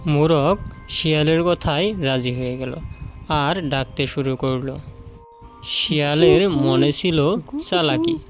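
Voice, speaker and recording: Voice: medium pitch, expressive, slow pace. A narrator telling a scripted children's story as a storyteller. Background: background music.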